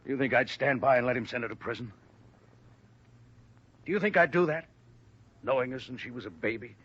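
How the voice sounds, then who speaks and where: A man acting as an older man, husky and anguished, stubbornly protective. He speaks emphatically in old radio drama style. The recording is muffled. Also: mid-range voice, a little fast, fairly bright tone, close to an everyday voice. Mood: anguished, indignant. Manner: emphatic, indignant questioning.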